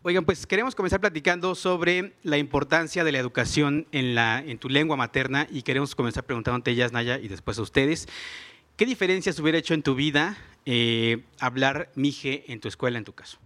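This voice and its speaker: A man's voice: medium pitch, brisk, a bit bright. A man hosting a live podcast interview, introducing guests and asking questions. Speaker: host.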